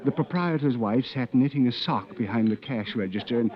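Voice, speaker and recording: A man's voice: mid-range voice, a little fast, close to an everyday voice. An actor playing an anxious, exasperated smuggler who tells his own tale in tense, literate 1940s radio drama style. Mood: suspenseful. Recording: dull old radio recording with music.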